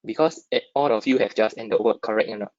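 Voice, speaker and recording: A man's voice: lowish voice, fast, darkish tone, dry recording. A man from Singapore with a Singaporean accent presents an informal, interactive webinar, often checking in with short tag questions. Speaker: webinar speaker, stock dealer. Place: dry close online webinar. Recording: dull lo-fi online call audio.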